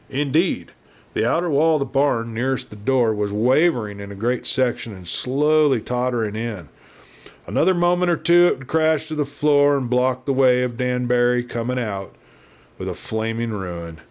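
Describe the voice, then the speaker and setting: Male audiobook narrator, medium pitch, dramatic Western storytelling, theatrical scripted read. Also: darkish tone.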